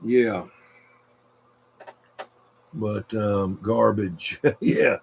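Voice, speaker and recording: A man's voice: fairly low pitch, fairly even intonation, extremely slow, vocal fry, a little mellow, husky, poor audio. A man chatting casually and in a rambling, folksy way as a CB radio operator hosting a show.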